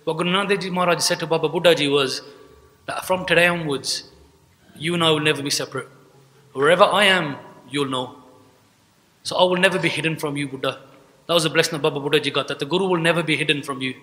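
A man's voice: on the high side, steady tone, quick pace, bright, forceful tone. A young man giving a religious talk at a podium mic, telling a story earnestly and acting out the dialogue. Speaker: speaker at a gurdwara event. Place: large hall, indoors. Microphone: podium mic on a stand. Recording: long hall reverb.

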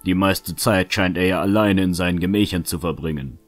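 A shrewd, calm fantasy adventurer, played by a man with a deep voice. He reasons in measured, well-chosen phrases like a strategist, over faint music. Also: natural voice. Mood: cautious planning. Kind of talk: fan-made fantasy audio drama acting.

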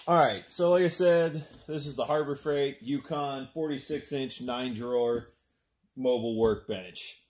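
A man in his thirties chatting casually and unscripted in a laid-back way, sometimes muttering to himself as he works. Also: mid-range voice. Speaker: woodworker unboxing and reviewing a tool chest. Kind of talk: casual unscripted vlog chat. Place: indoor workshop with shelving and lumber. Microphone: phone camera, at arm's length, then a few feet away. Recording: background noise and clicks, handling sounds.

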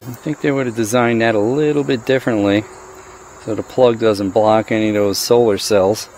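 A middle-aged man with a fairly low voice talks his way through a hands-on gear test outdoors, chatty and thinking aloud with the odd dry joke. Faint crickets and insects chirp behind him, picked up by a body-worn action camera. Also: fairly even intonation, moderate pace, a little mellow, a little hiss or hum. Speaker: product reviewer. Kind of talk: casual hands-on product review. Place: outdoors in a driveway.

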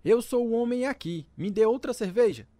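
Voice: mid-range voice, animated, normal speed, over music, natural voice. A performer playing an indignant, bossy young boy, the eldest son in a radio drama. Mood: bossy, swaggering. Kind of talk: radio drama dub acting.

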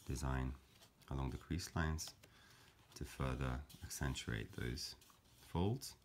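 A man calmly explaining a paper craft step by step, in a gentle, measured way with frequent fillers. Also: extremely low pitch, a little hiss or hum. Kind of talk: calm step-by-step craft tutorial.

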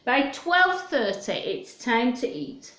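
A roomy home recording of a woman. Her voice is fairly high and she takes her time, speaking very slowly. She presents a story to young children in simple words, putting questions to them as she reads. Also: very animated, a bit bright. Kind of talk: reading a picture book aloud to children. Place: small room at home, indoors.

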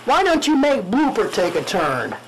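Man doing a very high-pitched, whiny police chief. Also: wildly swooping pitch, normal speed, fairly bright tone, slight background noise, full character voice. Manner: whining, old-fashioned mild exclamations. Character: whiny, nervous police chief, put-upon sidekick. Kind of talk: amateur radio drama spoof acting.

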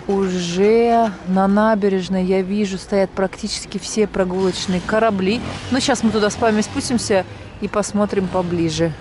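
A woman's voice: mid-range voice, moderate pace. Lady giving a chatty walking tour at night, camera in hand, traffic noise all around. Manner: relaxed guide-like commentary, speaking to viewers as friends. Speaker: vlog host. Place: outdoors on a city street at night. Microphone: handheld action camera. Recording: street noise behind.

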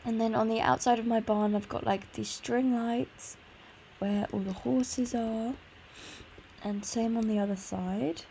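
A woman's voice: on the high side, fairly even intonation, unhurried, dark tone, slight background noise. A tired woman with a congested sore throat gives an informal, meandering tour commentary on a video game. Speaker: gaming video host. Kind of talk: casual gameplay tour commentary.

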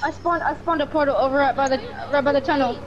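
A woman's voice: sits high, fairly even intonation, fast talker, dull audio, a crowd in the background, background noise. A woman chatting casually as a player in a game roleplay.

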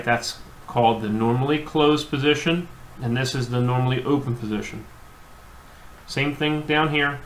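A man's voice: medium pitch, a bit bright. Man explaining wiring at an echoey workbench. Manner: plain, repetitive explaining with frequent fillers. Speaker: HVAC technician instructor. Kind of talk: hands-on technical demonstration. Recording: echoey room, faint background hum.

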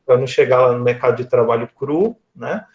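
A man's voice: medium pitch, fairly quick. A man in his thirties with a Northeastern Brazilian accent, explaining conversationally on a video call and often checking for agreement with short tag questions. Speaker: guest speaker, lecturer. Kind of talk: conversational online talk. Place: small indoor room, video call. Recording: dull, lo-fi video call audio.

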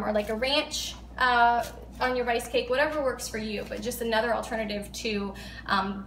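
A woman's voice: on the high side, fairly bright tone. Chatty woman in an echoey kitchen. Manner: chatty, upbeat, many ums while showing food. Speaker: vlog host. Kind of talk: casual cooking walkthrough. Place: home kitchen, indoors. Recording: echoey kitchen, faint hum.

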